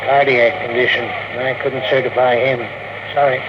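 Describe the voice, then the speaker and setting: An actor playing an offhand, clinical fairground doctor in 1950s radio drama style. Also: male voice, medium pitch, flat delivery, normal speed, natural voice. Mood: matter-of-fact. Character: matter-of-fact, weary male fairground doctor. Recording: noisy muffled old radio broadcast.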